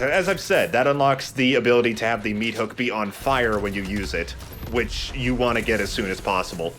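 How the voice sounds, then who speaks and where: A man's voice: fairly low pitch, background noise. A man hosting a let's play, giving casual, explanatory gameplay commentary.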